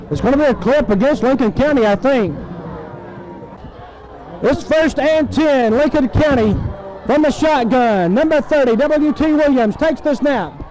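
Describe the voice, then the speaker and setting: A man calling football play-by-play on 1970s radio, excited and emphatic, in short phrases. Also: very high-pitched, slight background noise, rough recording. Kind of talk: live football play-by-play commentary. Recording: 1970s AM radio sports broadcast.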